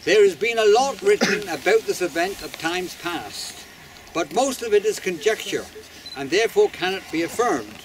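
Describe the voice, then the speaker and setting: An older man reading a prepared ceremonial speech outdoors in a formal, measured, declamatory way. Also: high-pitched, very animated. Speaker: master of ceremonies, society chairman. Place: open hilltop outdoors. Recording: outdoor recording with faint background noise.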